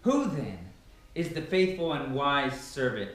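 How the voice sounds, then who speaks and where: A man's voice: mid-range voice, very animated, unhurried, a bit bright. A young man giving a dramatic, emphatic reading of scripture, declaiming with stressed phrases. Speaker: Bible reader. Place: bare carpeted living room indoors. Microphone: far camera. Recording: very echoey empty room, distant microphone.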